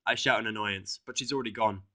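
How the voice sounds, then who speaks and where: A man's voice: lowish voice, quick pace, fairly bright tone. A man reading fiction aloud as a dramatic first-person narration. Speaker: audiobook reader.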